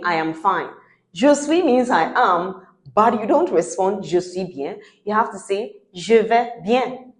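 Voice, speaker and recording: Low-voiced Ghanaian woman teaching French. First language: Fante. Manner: patient, repeats examples, checks in with "right?". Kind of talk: explanatory teaching talk. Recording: roomy echo.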